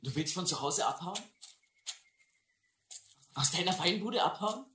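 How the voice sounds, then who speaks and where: A man's voice: high-pitched, lively intonation, a little slow. Echo and heavy noise surround this radio drama voice from a little way off. It is a tough sixteen-year-old sailor boy. He talks in short, slangy bursts. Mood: surprised, challenging. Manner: curt, slangy. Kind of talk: radio play acting. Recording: echoey, distant, very noisy old recording.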